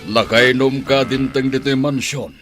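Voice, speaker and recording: A man's voice: mid-range voice, fairly even intonation, normal speed, a bit bright, faint music behind, lightly acted. An actor with a Filipino accent playing an anxious, scheming wealthy man in a radio drama. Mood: worried.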